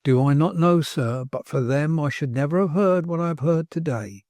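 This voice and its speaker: A man's voice: a little high, moderate pace, a little mellow, dry recording, close to an everyday voice. A man doing a pompous plaintive old hypocrite. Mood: sanctimonious. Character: pompous self-righteous older man, plaintive.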